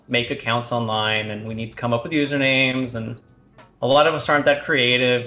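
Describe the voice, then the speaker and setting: A man's voice: medium pitch, sharp tone. Romanian-born man, casual guest, rambling delivery, echoey room recording. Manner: rambling, with many fillers and restarts. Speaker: guest, competitive card game player. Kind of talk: casual interview answers.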